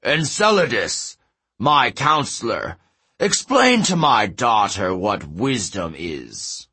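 A man's voice: medium pitch, sing-song, takes it slowly, pushed and bright, breathy edge, exaggerated voice. A man voicing a pompous, commanding giant king in a growling fantasy audiobook voice. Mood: angry. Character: imperious giant king, booming, scornful, commanding.

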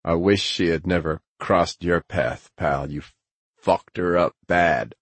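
A man voicing a gruff, sardonic, middle-aged male hacker in an audiobook, with a rumbling, blunt, needling delivery. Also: slow, fairly bright tone, no room sound, exaggerated voice. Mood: sober.

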